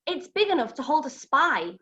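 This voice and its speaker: A woman's voice: high-pitched, big pitch swings, moderate pace, sharp tone, heavily acted. A woman playing a haughty, curious young princess in rhyming pantomime, on a lo-fi recording. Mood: curious, surprised. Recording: dull lo-fi sound.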